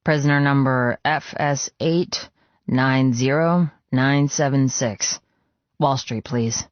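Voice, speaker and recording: A man's voice: mid-range voice, slow, no room sound, muffled recording, lightly acted. A man playing a tough male biker gang leader who talks in a curt, commanding manner.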